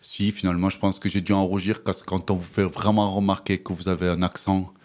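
A man with a southwestern French accent, a radio guest who speaks hesitantly in conversation and recites his own poem in a measured rhythm. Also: sits low, flat delivery, darkish tone, dry recording. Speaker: guest author.

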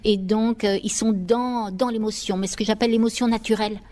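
A middle-aged woman speaks as an interview guest. Her voice sits at an average pitch. She answers off the cuff, thoughtfully, pausing to hunt for the right words. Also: steady tone, mellow. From Paris, France. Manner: hesitant, searching for words, reflective. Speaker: interview guest, children's songwriter.

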